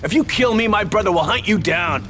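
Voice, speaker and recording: Game villain: a higher, lively rival mobster over a loud score. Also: male voice, fairly quick, soft background music. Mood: defiant. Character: rival male mob boss, slick then cornered. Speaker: Carmine, rival boss.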